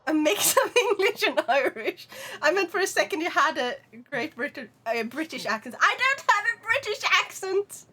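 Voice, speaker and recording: A woman's voice: extremely high pitch, very animated, moderate pace, hardly any pauses, pushed and bright. A young woman with a Swedish accent, chatting playfully with her viewers while streaming a game. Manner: chatty and playful, talking to chat while playing. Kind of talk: casual gaming livestream chat.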